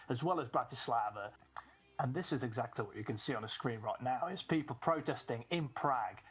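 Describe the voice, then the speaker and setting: A man's voice: mid-range voice, poor audio. A man from Slovakia hosting an informal explainer in an emphatic, colloquial way, often asking rhetorical questions. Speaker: video host. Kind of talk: informal explainer narration to camera. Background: background music.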